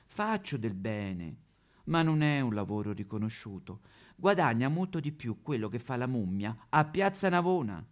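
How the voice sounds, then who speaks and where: Casting: male comic actor as vain, aggrieved Madonna statue, Roman accent, melodramatic satirical monologue, very animated swooping pitch. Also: normal speed, lightly acted. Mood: aggrieved, self-pitying. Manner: melodramatic, plaintive, sudden swoops into wailing. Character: aggrieved neglected female courtyard Madonna statue, self-pitying, vain.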